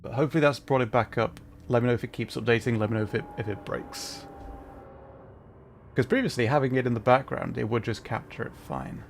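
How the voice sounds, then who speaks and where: Male streamer, mid-pitched, informal game commentary, explains things to chat, slight noise behind. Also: fairly quick, creaky. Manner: informal, explanatory, talking to viewers. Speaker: game livestreamer. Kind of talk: casual livestream commentary with chat.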